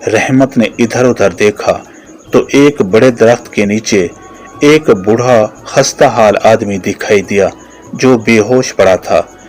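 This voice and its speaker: A man's voice: lowish voice, slow pace, a little mellow, low-quality recording. A man narrating a scripted moral story for a children's cartoon.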